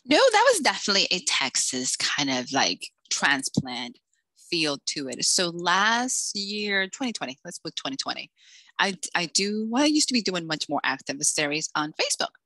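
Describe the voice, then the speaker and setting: Animated, bright-voiced woman storyteller. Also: lowish voice, moderate pace, no echo, low-quality recording. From Dominican Republic, raised in Massachusetts. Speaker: author guest. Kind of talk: casual interview chat.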